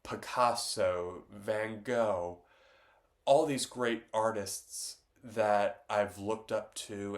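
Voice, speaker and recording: A man, congested from a stuffy nose, talking loosely about his own artwork, with many pauses and restarts. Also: on the low side. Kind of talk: casual reflective talk to camera.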